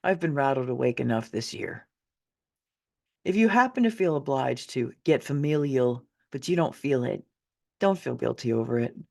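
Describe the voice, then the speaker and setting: A middle-aged woman narrates her own story as if talking straight to the listener. Her voice has a gravelly creak and swings widely in pitch. She is candid and wry, leaning into key words for dramatic effect. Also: animated, normal speed, darkish tone. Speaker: author reading own memoir. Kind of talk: conversational audiobook narration.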